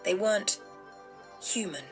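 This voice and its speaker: A woman playing a frightened witness in an audio drama, recalling an eerie stranger in a halting, uneasy way. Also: medium pitch, faint music behind, close to an everyday voice. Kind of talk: scripted audio drama testimony.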